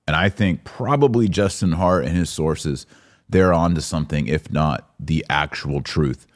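A man's voice: a bass voice, measured pace. An American man hosting a podcast, giving news commentary in an informal, conversational and opinionated way. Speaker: podcast host. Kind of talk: live news commentary to an audience.

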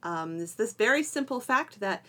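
A woman's voice: on the high side, lively intonation, normal speed, bright, forceful tone. A middle-aged woman co-hosting a podcast in a casual, chatty style with a playful, bantering manner. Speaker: podcast co-host.

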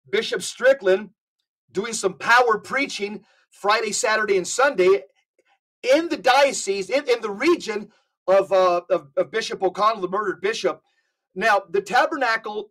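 A man's voice: high-pitched, measured pace, a bit bright, low-quality recording. Guest man, earnest and emphatic, stumbles and restarts as he presses his point. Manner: earnest, repeats and restarts phrases. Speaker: podcast guest. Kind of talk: emphatic conversational commentary. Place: California.

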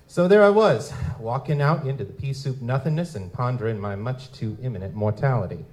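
A man's voice: mid-range voice, animated, brisk, darkish tone, lightly acted. An actor playing a wry, down-on-his-luck lutin private investigator in a live audio drama, with wisecracking, folksy noir storytelling. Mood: wry, world-weary. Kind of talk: live audio drama, first-person noir narration and dialogue. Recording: music behind the voice.

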